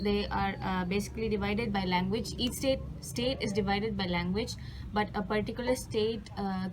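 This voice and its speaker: Indian student, woman, mid-pitched, filler-heavy answers, noisy spot. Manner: fluent but filler-heavy, many "like" and "um". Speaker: interviewee, international student. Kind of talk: casual spoken answers. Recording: noisy background.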